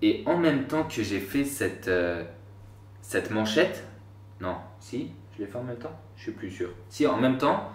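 A man's voice: medium pitch, lively intonation, measured pace. A man in his twenties chatting casually to camera in a slangy, rambling way, with self-mocking asides, recorded indoors in a small room. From France. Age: 20s. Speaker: YouTuber. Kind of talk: casual vlog talk to camera. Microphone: camera a few feet away. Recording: roomy, slightly distant sound.

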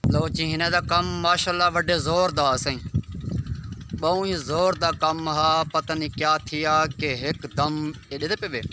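Earnest Saraiki man vlogging outdoors. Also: a little high. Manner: earnest, advising friends, repeats phrases. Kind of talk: casual vlog to camera. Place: outdoors on a farm beside palm trees. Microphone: phone held at arm's length. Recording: outdoor phone audio with background noise.

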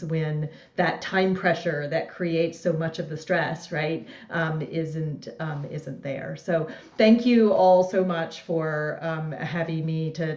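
A middle-aged woman professor on a video call panel, speaking in a thoughtful, measured way. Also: low-pitched, a little mellow. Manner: thoughtful, measured, hesitant fillers. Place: indoor room on video call. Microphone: earphone mic. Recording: echoey, dull video call audio.

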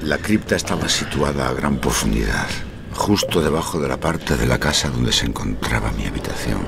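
An actor playing a grave gentleman narrator and loyal houseguest in a gothic audio drama, with a measured, literary delivery. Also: rumbling low, expressive, creaky, noisy background, heavily acted. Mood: solemn narration.

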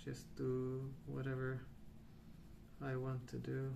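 Soft spoken guy doing asmr rambling, gravelly fry, pretty flat pitch, musing along. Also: slight background noise. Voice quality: soft, hushed, at times near whisper. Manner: unhurried, hesitant, musing. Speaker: host of a colouring ASMR channel. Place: indoors at a wooden table.

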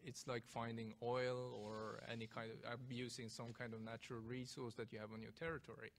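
A man's voice: medium pitch, little pitch movement, fairly quick, slight background noise. A man from Sweden with a Swedish accent, speaking as a panelist in a discussion and making his case analytically from a table microphone.

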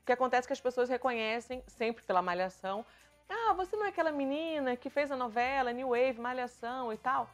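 A woman's voice: sits high, a little fast. Woman, 30s, Carioca Brazilian accent, chatty vlog to camera, faint background music. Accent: Carioca Brazilian Portuguese with European Portuguese touches. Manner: lively and chatty, talks with her hands. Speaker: vlogger answering viewer questions. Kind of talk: casual chat to camera. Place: bright living room, seated on a sofa.

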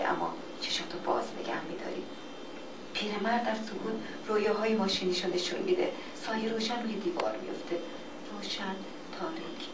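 A woman reading a play on stage as a mysterious, calm, beckoning presence, deliberate and often hushed. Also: fairly low pitch, close to an everyday voice. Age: middle-aged. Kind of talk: dramatic, poetic second-person play reading. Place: indoor hall or classroom, staged reading. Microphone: dynamic mic on table stand. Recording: echoey, noisy live hall, distant.

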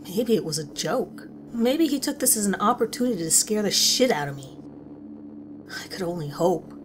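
A woman's voice: mid-range voice, animated, normal speed, close to an everyday voice. A woman narrating a scripted horror story as a concerned, unsettled teacher. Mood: alarmed. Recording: clearly audible background ambience noise.

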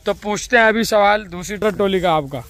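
High-voiced young man vlogging outdoors. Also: normal speed, fairly bright tone, no echo. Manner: upbeat, chatty, addresses viewers directly. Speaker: vlogger interviewing scout trainees. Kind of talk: walking vlog narration and interviews. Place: outdoors in rural fields and woods. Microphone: phone camera held at arm's length.

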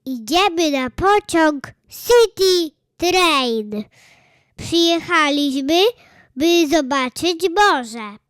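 A woman's voice: high-pitched, animated, drawn-out words, fairly bright tone, no room sound, clean studio sound, voice pushed up, full character voice. A woman voicing a curious, polite little boy squirrel in a children's story, asking things in an innocent way. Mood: puzzled, earnest. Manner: innocent, questioning.

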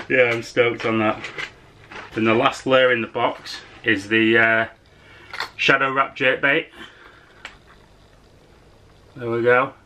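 A young man in his twenties talks casually to a desk camera in a small room at home, upbeat and full of easy filler words. His voice sits at a middle pitch with a bright, forward edge. Faint background hiss runs under the recording. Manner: easygoing, enthusiastic, chatty with filler words. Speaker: fishing vlogger. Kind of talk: casual product unboxing and fishing vlog.

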